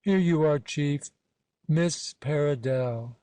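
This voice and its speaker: An actor playing an officious organiser directing guests in short phrases, in a period satirical audio play. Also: male voice, fairly high pitch, slow, warm tone, clear, resonant tone. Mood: brisk. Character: officious party organiser seating supper guests.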